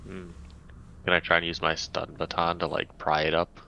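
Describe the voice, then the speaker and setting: A man's voice: low-pitched, flat delivery, moderate pace, vocal fry, sharp tone. A man playing a tabletop roleplaying game, asking questions in casual, tentative chat. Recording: dull lo-fi mic with faint noise.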